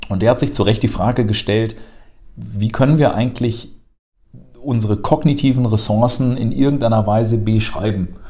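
A man in his thirties, seated on a sofa in a bright office room, explaining stress and thinking to a colleague in a fluent, lecturing way with frequent filler sounds, recorded on a lapel mic. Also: fairly low pitch, normal speed. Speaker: emergency medicine educator. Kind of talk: explanatory conversation.

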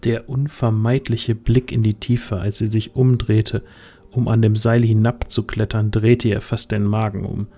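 Mellow male fantasy narrator. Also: fairly low pitch, level tone, measured pace. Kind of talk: scripted narration of a fantasy audio drama. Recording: music under the voice.

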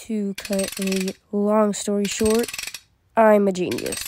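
A woman acting out characters for a fan comic dub in a mellow voice, with hesitant, dramatic pauses. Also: mid-range voice, noisy background.

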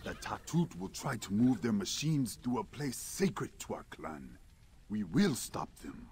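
A man's voice: mid-range voice, big pitch swings, unhurried, creaky, a little mellow, slight background noise. A man playing a stern, scornful alien warrior in a video game, curt and commanding, with formal clipped phrasing. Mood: resolute. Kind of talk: video game cutscene acting.

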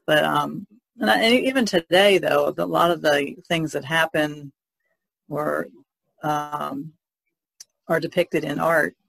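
A woman talks casually as a podcast panelist, thinking her way through in halting phrases. Her voice is creaky and recorded very dry. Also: low voice, a bit bright, no room sound, rough recording. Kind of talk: casual discussion.

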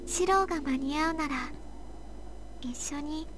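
An actress playing a small, wistful and fatalistic girl with an uncanny calm in a Japanese visual novel. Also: high-pitched, brisk, noisy background, lightly acted. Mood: wistful resignation.

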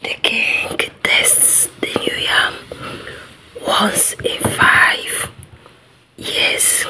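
A woman with an African accent telling a folktale in a whispered ASMR style, with deliberate, drawn-out phrasing. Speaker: storyteller.

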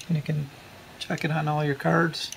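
A man's voice: fairly high pitch, moderate pace, creaky. A man narrating a hands-on repair tutorial in a casual, folksy, informal way, recorded at home on a handheld camera. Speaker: repair video host. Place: room at home. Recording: steady hum and room noise.

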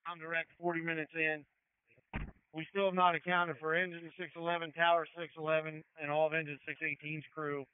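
Male incident commander, clear voice on a phone-like emergency radio, terse and procedural. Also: fairly high pitch, flat delivery, brisk, no room sound. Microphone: two-way radio. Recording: phone-like fire radio channel.

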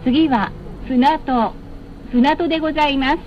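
A woman's voice: high-pitched, a little slow, faint music behind. A woman bus announcer reading scripted stop announcements in a formal, polite, carefully enunciated way over cabin speakers. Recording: muffled, with clear vehicle noise. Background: vehicle noise.

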